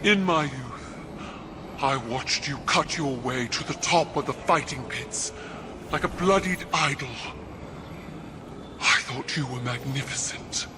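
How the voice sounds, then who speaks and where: Slow, low-voiced alien warrior empress. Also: female voice. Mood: defiant, disillusioned. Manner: measured, formal, defiant. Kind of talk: dramatic video game voice acting. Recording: noisy game soundtrack behind.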